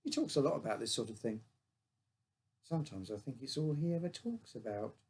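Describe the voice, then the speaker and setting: A man's voice: mid-range voice, sing-song, fairly quick, darkish tone. A man reading his own short story aloud as a first-person narrator, in simple childlike phrasing.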